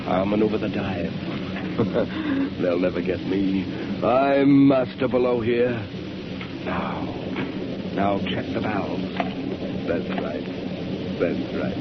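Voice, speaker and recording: An actor playing a ruthless, boastful submarine pirate in old-time radio style, gloating and excitable in a theatrical way. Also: male voice, sits low. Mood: smug gloating. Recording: muffled, noisy vintage radio drama recording.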